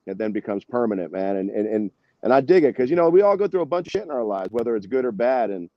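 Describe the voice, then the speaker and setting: A man's voice: medium pitch, sing-song, fast, no echo, muffled recording. A man talking casually as an interview guest, a singer telling stories in a rambling, slangy, salty way. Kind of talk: casual interview chat, anecdotes.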